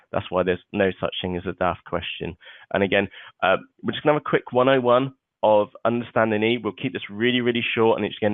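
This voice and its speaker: A man's voice: lowish voice, no echo. A man hosting an online seminar, chatty and casual, often saying "okay" between points. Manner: chatty, peppers talk with "okay" and "uh". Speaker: webinar host. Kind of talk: live online seminar walkthrough. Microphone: web conference microphone. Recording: tinny phone-like web call audio.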